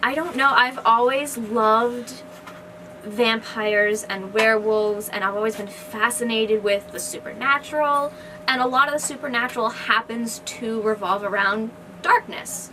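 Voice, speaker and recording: A woman's voice: on the high side, a little slow, pushed and bright. A woman author talking casually and enthusiastically about her work, in a rambling, informal way. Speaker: fantasy author. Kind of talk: casual book discussion. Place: indoors in a bookstore. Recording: noisy room recording, background ambience.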